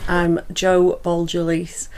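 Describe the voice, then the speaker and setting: A woman's voice: fairly low pitch, monotone, slow, background noise. A woman in her forties, a hospital manager, giving frank interview answers with a slightly exasperated tone. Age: 40s.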